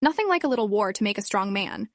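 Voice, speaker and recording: A woman's voice: medium pitch, animated, fast talker, dry recording, natural voice. Tough farm imp mom, wistful, country talk, a woman acting her, close dry read.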